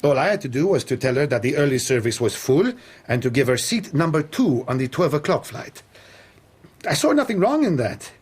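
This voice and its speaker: A husky, nervous airline clerk. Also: male voice, mid-range voice, very animated, fairly quick, a little mellow. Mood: nervous, defensive. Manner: hesitant, deferential. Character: nervous, evasive male French airline booking clerk. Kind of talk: radio drama acting.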